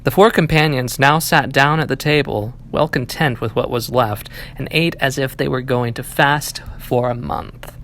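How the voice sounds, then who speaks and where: A man reading a fairy tale aloud as an animated audiobook narration. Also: medium pitch, moderate pace, hardly any pauses, slight background noise. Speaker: library staff reading aloud.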